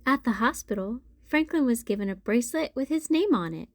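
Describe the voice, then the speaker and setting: A woman narrating a children's storybook as a read-aloud host, in a deliberate storytelling manner. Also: a little high, expressive, dry recording.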